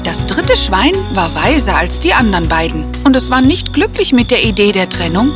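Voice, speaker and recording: A woman's voice: medium pitch, very animated, normal speed, music in the background. A woman storyteller reading a scripted fairy tale for children. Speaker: storyteller, narrator.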